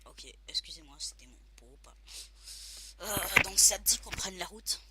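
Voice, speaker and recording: A man's voice: sits high, moderate pace, heavy background noise. A man with a cold, chatting and joking as he hosts a video of a game played with a friend. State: stuffy from a cold. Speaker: gaming video host. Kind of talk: casual gaming commentary with a partner.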